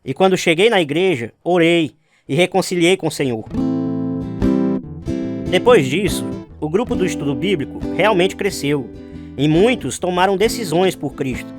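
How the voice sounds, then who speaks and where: A man's voice: high voice, expressive, moderate pace, music in the background. An actor playing an earnest, devout young Navy man telling his life story in a radio drama. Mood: reflective. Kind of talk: radio drama narration with acted dialogue.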